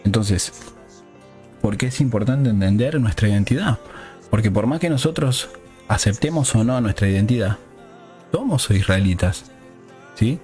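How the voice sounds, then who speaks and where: A man's voice: lowish voice, lively intonation, moderate pace, pauses often, darkish tone, faint music behind. A man preaches a teaching sermon in a didactic way, often checking in with listeners through short rhetorical questions.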